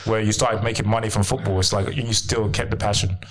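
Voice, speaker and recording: Lowish-voiced man interviewing casually. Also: steady tone, a little fast, slight background noise. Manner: informal, frequent "like" and "right?". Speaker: interviewer, host.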